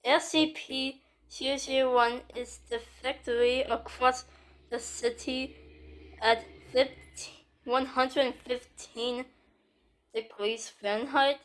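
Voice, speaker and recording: Slow, high, stilted woman announcer. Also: fairly even intonation, fairly bright tone, low-quality recording. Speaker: emergency alert announcer. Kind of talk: scripted emergency broadcast announcement.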